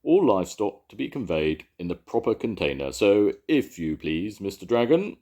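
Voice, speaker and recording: A man's voice: fairly low pitch, big pitch swings, moderate pace, dark tone, pitched above the performer's own voice. A man voicing a fussy, rule-bound male station master who talks in a pompous, officious way in a storybook.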